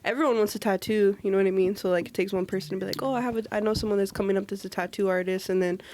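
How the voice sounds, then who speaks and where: A woman's voice: mid-range voice, fairly even intonation, very fast, a little mellow, a little hiss or hum. A woman tattoo artist guest, talking in a laid-back way full of fillers. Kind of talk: casual chat.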